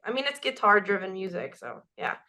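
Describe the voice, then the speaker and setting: A woman singer with a naturally raspy voice, answering interview questions in a loose, candid way, full of "like" and "you know". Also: medium pitch, sing-song, brisk, pushed and bright. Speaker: band singer.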